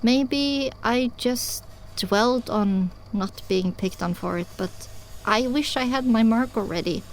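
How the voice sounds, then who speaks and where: A woman's voice: fairly high pitch, slow pace, a little hiss or hum. A woman reading scripted first-person story narration as the narrator of an audio drama.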